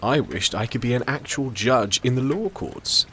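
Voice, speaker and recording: An actor playing a worldly, unsentimental older doctor in an audio drama, measured and dryly sardonic. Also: male voice, mid-range voice, bright, forceful tone, slight background noise, lightly acted. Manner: measured, dry, reasoning.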